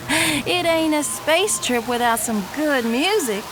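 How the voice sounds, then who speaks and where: A woman's voice: high-pitched, animated, slow pace, over music, poor audio. A woman playing a brash, playful space adventurer in an audio drama, with a teasing, breezy manner.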